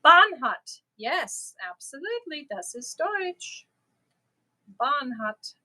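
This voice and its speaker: Bright-voiced woman chatting on stream. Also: high-pitched, slow. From Germany. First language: German. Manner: chatty and animated, laughs easily. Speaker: crochet livestream host. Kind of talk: casual livestream chat answering viewer comments.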